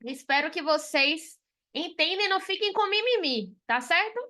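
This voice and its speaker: Bright, high-voiced woman teaching. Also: lively intonation, normal speed. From Salvador, Bahia, Brazil. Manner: frank and chatty, with frequent tag questions. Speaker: accountant teaching a payroll course. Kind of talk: live online class.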